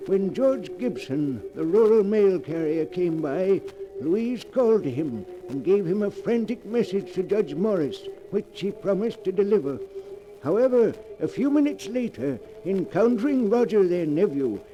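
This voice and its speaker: A man narrating a 1940s radio thriller in an ominous, measured storytelling manner. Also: sits high, animated, muffled recording. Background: music.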